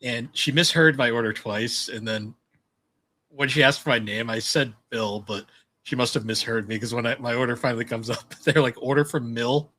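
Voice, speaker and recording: A young man with a low voice, co-hosting a podcast in casual chat, laid-back and chuckling through his stories. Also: steady tone, moderate pace, pushed and bright. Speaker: podcast co-host.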